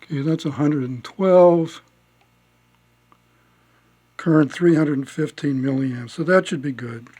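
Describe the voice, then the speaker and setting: A man giving a casual step-by-step demonstration as a hobbyist, speaking haltingly with pauses as he works. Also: a little high, warm tone, breathy edge. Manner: halting, pausing mid-sentence as he works. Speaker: hobbyist restoring a vintage radio.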